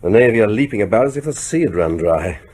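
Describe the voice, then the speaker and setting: Husky, low, wry British prime minister. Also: male voice, animated, a little mellow. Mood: brisk, commanding. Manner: wry, conversational, brisk authority. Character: wry, combative newly elected male British prime minister. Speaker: actor in a radio drama. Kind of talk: British radio drama acting.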